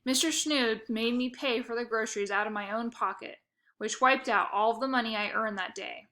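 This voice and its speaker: A woman reads a children's chapter book aloud in a bright, clear voice pitched a touch high. Her delivery is expressive and playful, voicing the young narrator's thoughts with lively emphasis. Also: normal speed. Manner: expressive, playful first-person reading. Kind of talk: reading a children's novel aloud.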